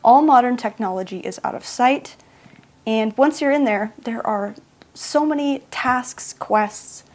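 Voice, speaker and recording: A woman's voice: a little high, a little slow. A woman vlogger talking casually to camera, chatty and self-mocking, often laughing in the middle of a sentence.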